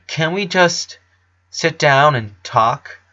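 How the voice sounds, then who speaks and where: A man voicing a serious, troubled eleven-year-old boy who speaks haltingly and earnestly. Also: fairly high pitch, animated, sharp tone, highs cut off, voice pushed up, full character voice. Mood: tense, pleading.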